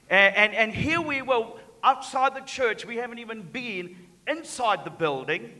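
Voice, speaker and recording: Man, Sri Lankan Kiwi accent, high-pitched, stammering preacher, echoing church hall. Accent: Sri Lankan and New Zealand. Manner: stammering repeats, building to fervent shouts. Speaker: guest preacher. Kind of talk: sermon. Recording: reverberant hall.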